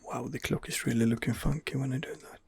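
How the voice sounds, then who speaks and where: A man quietly narrating his role-play game in a breathy ASMR whisper, musing and rambling hesitantly, close to the microphone. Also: medium pitch, steady tone, fast talker. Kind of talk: ASMR gaming commentary.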